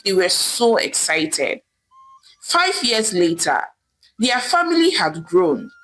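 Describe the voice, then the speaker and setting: A woman narrating a scripted folktale in an expressive, dramatic storytelling manner, with emphatic pauses. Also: medium pitch, rough recording.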